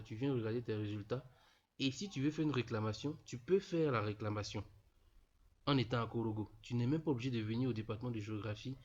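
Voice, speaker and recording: A man's voice: medium pitch, darkish tone. A young man with a cold, walking students through an online registration step by step, repeating himself often. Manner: repetitive, peppered with "voilà", addresses students informally. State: stuffy from a cold. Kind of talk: casual explanatory screen tutorial. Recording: dull lo-fi voice-over.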